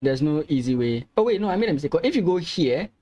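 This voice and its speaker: Guy from ghana streaming chess, ga is his first language, talks it through out loud, stops and restarts a lot, laughs easy. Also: fairly high pitch, big pitch swings, fast talker. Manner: thinks aloud, hesitant restarts, laughs easily. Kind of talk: live chess commentary with chat.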